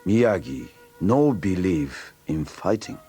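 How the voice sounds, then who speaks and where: A man's voice: low-pitched, sing-song, slow pace, warm tone, slightly rough, soft background music, exaggerated voice. A man playing an older, stoic Okinawan karate master in a read-along story, speaking terse broken English with a Japanese accent. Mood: grave, reflective.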